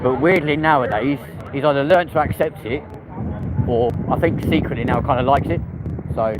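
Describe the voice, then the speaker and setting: A man's voice: medium pitch, normal speed. A young man with a London accent, chatting casually with viewers on a live stream and using London slang. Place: outdoors on a busy city street. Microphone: handheld phone. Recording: phone audio with loud street noise.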